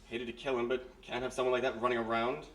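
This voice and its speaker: A man's voice: medium pitch, flat delivery, fast talker, slight background noise, close to an everyday voice. A man playing a stern, dry army major with clipped, formal speech in a live radio mystery. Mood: brisk, matter-of-fact. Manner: clipped, formal, matter-of-fact. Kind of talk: live old-time radio mystery acting. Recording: roomy live stage, distant mic.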